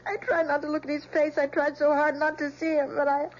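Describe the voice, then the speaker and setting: An actress playing a distraught, guilt-ridden young woman in a radio drama, speaking haltingly and with emotion. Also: sits high, flat delivery, natural voice. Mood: tearful, anguished. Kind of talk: old radio drama acting. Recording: dull radio drama recording with mains hum.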